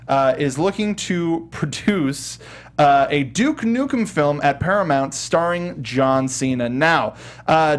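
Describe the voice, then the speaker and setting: Give this voice to a man with a fairly high pitch, hosting a casual panel show. He riffs freely in an animated manner and talks like he is among friends, with some casual swearing. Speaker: talk show host. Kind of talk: casual panel discussion of entertainment news.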